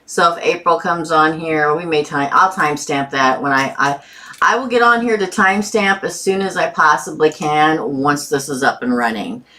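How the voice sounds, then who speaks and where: A woman's voice: low voice, normal speed, sharp tone. A woman hosting a tarot live stream with a sore throat, chatting casually and greeting viewers by name.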